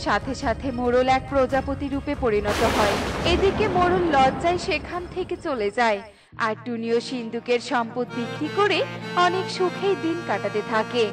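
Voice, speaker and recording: A woman narrates a scripted story for children. Her voice is very high-pitched. Music plays loudly behind her throughout. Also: lively intonation, normal speed.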